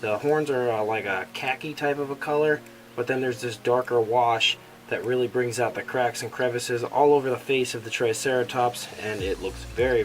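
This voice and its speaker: Man with a cold, raspy. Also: mid-range voice. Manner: enthusiastic, many "uh" fillers. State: sick with a cold, slightly raspy. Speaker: toy reviewer, channel host. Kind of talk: casual product review.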